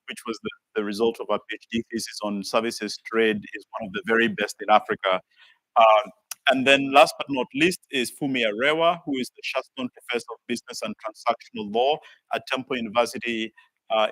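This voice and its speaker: A man's voice: mid-range voice, a bit bright, no room sound, low-quality recording. A man moderating a panel, introducing the speakers with many ums and uhs.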